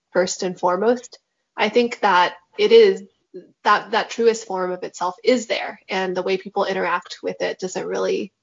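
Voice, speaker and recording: Reflective woman novelist, lo-fi audio. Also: medium pitch. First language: Mandarin. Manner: reflective, measured, with hesitations. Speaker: novelist guest. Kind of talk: thoughtful interview answers. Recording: dull, lo-fi sound.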